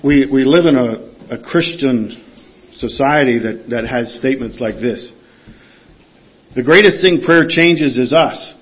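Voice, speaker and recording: A man's voice: medium pitch, measured pace. Earnest preacher man, dull lo-fi sound. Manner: earnest teaching, quotes scripture, asks the congregation questions. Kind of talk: sermon.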